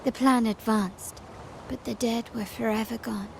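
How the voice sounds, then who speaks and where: A woman playing a resolute, calculating young heiress in a game drama, speaking in a measured, deliberate way. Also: a little high, steady tone, vocal fry, slight background noise. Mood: cold, needling. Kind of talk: dramatic video game voice acting.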